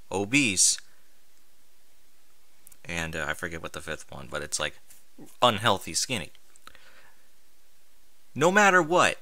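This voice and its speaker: A man's voice: mid-range voice, sharp tone, no echo. A man reading a story aloud with exasperated, sarcastic, sweary commentary. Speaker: host reading and mocking amateur fan fiction aloud.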